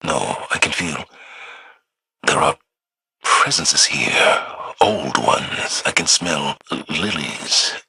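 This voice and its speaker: A weary video game warrior, low and really husky, sharp edge, earnest. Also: male voice, expressive, slow pace, pauses often, heavily acted, poor audio. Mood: haunted, halting. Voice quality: husky, raspy. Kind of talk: video game drama acting.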